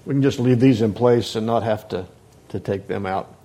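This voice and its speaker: A man leading a Bible class into a microphone, talking hesitantly with many restarts and fillers and clearing his throat often. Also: on the low side, moderate pace, dark tone. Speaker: Bible teacher. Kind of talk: informal Bible class talk. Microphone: microphone on a sound system.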